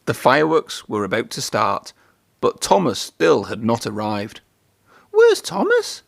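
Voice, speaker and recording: A man narrates a children's story with very animated intonation. His delivery is expressive, in a close, dry recording. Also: lowish voice, measured pace, vocal fry, dry recording. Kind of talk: children's read-aloud narration.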